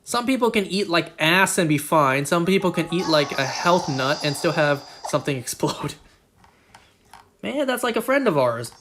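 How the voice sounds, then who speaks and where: Man, game streamer, casual rambling chat, self-deprecating jokes, slightly high voice. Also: animated, normal speed, a bit bright, a little hiss or hum.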